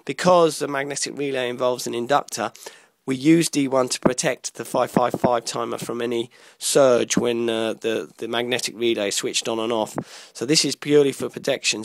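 A man giving an informal electronics tutorial, explaining step by step in a halting way, often repeating and rephrasing himself. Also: mid-range voice, no echo. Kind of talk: informal explanatory lesson walking through a diagram.

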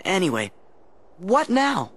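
A man's voice: high-pitched, huge pitch swings, slow pace, a bit bright, no echo, full character voice. An actor playing a plucky, impulsive teenage boy hero with an American accent in a video game cutscene. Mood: puzzled. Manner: eager, boyish.

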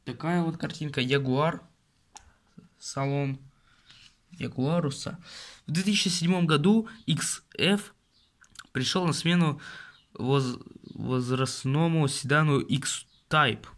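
A man's voice: fairly high pitch, slow, pauses often, a bit bright. A man casually reviewing a car magazine at home, rambling, grumbling and talking to his viewers. He records himself on a phone held close over his desk.

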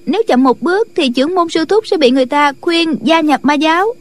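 A woman's voice: high-pitched, animated, brisk, voice pushed up. A woman voicing an earnest, respectful young female disciple in a martial arts audiobook, girlish and deferential. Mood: earnest, urgent.

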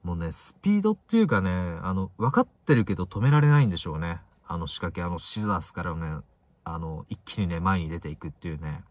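A young man with a low voice chats casually about football as a solo vlogger. His intonation swings widely, pushing the start of each phrase and letting the end drop away. The sound is close and dry, recorded inside a parked car. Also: expressive, fast talker, a little mellow, dry recording.

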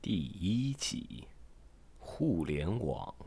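A man's voice: deep voice, wildly swooping pitch, extremely slow, vocal fry, a little mellow, slightly rough, soft background music. A man formally announcing a radio drama series in a grand, declamatory announcer style.

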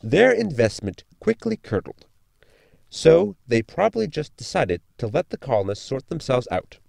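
A pedantic know-it-all crewman from a sci-fi radio comedy, played by a man. He lectures in formal, wordy sentences with swooping intonation and a creaky edge, in very dry sound. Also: mid-range voice, very animated, normal speed, a little mellow, no room sound, heavily acted. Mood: dry moralizing. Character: erudite, pedantic, deadpan male crew member. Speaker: actor in audio drama. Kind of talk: science fiction sitcom audio drama acting.

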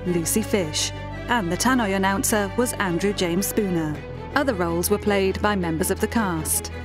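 A woman reading the closing credits of a radio drama in an even, measured manner. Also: medium pitch. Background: theme music.

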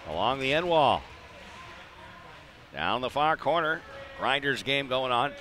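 Bright-voiced man calling live hockey. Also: mid-range voice, sing-song, a little slow, soft background music. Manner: clipped phrases with chatty asides. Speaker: play-by-play hockey announcer. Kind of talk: live radio-style sports commentary. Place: indoor ice arena.

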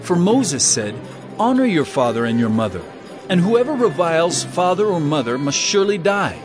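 A fairly high-voiced, authoritative male teacher in scripture drama, declaiming with lively, swinging pitch and emphatic reproof. Soft music plays behind him. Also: moderate pace. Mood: stern reproach.

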